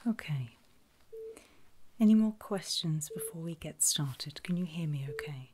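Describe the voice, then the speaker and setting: A woman whispering in a breathy, gentle and reassuring way, unhurried, as a nurse in an ASMR medical roleplay. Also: low voice, animated. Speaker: ASMR performer playing a surgical nurse.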